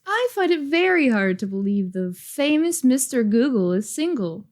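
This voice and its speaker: Lady voicing a prim polite businesswoman, really high voice, swoopy and unhurried, comic dub. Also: very animated, darkish tone, soft background music. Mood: prim disbelief. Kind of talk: amateur webcomic dub acting.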